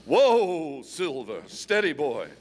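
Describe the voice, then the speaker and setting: A man's voice: a little high, sing-song, slow. A man playing a heroic, resolute masked Western lawman in an old-time radio play, declaiming and calling out commands. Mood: concerned, steady. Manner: declamatory, commanding calls to his horse. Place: performing arts center stage, live. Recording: reverberant hall, live stage, audible noise.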